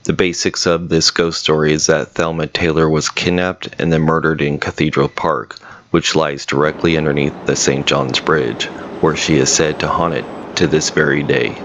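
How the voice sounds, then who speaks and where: Very deep-voiced man narrating. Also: little pitch movement, normal speed, fairly bright tone, slight background noise. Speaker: paranormal investigator narrator. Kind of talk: scripted documentary narration.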